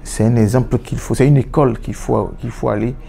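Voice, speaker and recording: A man's voice: medium pitch, fairly even intonation, fairly quick, vocal fry, warm tone, a little hiss or hum. A man in his thirties whose first language is Yoruba, giving reflective interview answers indoors, often restarting and repeating words. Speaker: musician. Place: indoor room, seated on a sofa.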